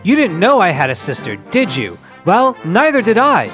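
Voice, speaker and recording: A woman acting a wholesome, keen young lad hosting a radio show, with very lively swings of pitch. The delivery is old-time radio drama, slightly dull in sound, with faint music underneath. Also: normal speed. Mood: eager, cheerful. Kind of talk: old-fashioned radio play reading.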